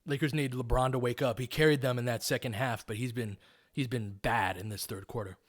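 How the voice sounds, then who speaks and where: A man's voice: medium pitch, steady tone, a little fast. A man calling live basketball play-by-play in a casual, conversational, analytical way. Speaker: play-by-play host.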